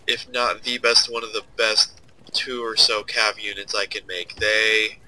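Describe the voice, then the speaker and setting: A man's voice: on the low side, level tone, a little slow, sharp tone, poor audio. A young man with a stuffed-up, nasal voice, casually commenting while he plays a strategy game and thinks aloud. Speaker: let's play host.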